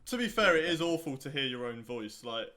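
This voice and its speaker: A man's voice: mid-range voice, sing-song, brisk, bright, forceful tone. A young man hosting a podcast in a casual, teasing, jokey way. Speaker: podcast host.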